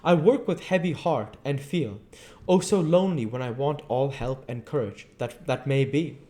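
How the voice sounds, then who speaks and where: A man's voice: mid-range voice, normal speed, mellow, close to an everyday voice. A man voicing an old male professor who speaks in an earnest, pleading, old-fashioned way.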